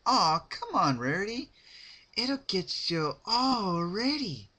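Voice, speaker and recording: A man voicing a giddy, menacing female party pony in a dramatic reading of cartoon fan fiction, excitable, pushy and giggly. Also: high-pitched, slow pace, breathy edge, pitched above the performer's own voice, full character voice. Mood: pushy, eager.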